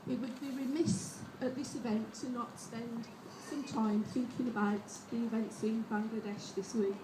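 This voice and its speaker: A woman's voice: on the high side, moderate pace, echoey room, recorded from a distance, noisy background. A woman reading a prepared speech at a rally and introducing the next speaker. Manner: steady, reading from notes. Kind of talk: read-out speech.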